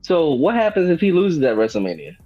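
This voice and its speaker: A man's voice: fairly high pitch, huge pitch swings, a little fast. A man joins the podcast from afar and vents with scorn. His audio sounds dull and muffled, like a remote call. Faint music hums behind him. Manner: scornful, swears. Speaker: podcast guest. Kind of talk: wrestling podcast rant.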